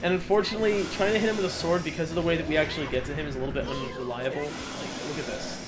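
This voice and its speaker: A man giving casual, joking video game commentary, animated and a little profane. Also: fairly high pitch, rapid-fire, very noisy. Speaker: let's player and commentator.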